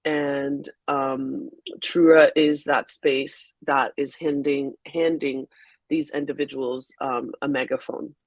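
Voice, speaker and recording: A Haitian-born woman whose first language is French speaks slowly and softly, with many pauses and ums. She is a magazine founder being interviewed in a webinar. The video-call sound is dry, with no room echo. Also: extremely low pitch, little pitch movement. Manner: soft-spoken, unhurried, with frequent ums.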